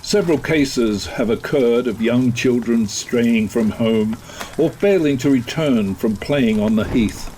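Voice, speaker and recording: A man playing a formal newspaper reporter, reading an article in a measured way for an audio drama, over faint noise. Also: mid-range voice, darkish tone, natural voice, rough recording. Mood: formal, serious.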